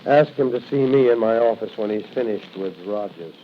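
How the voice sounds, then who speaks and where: An actor playing a stern, dutiful prison warden with clipped authority, in 1940s radio drama on a hissy recording. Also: male voice, on the low side, brisk, highs cut off, close to an everyday voice. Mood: businesslike, grave.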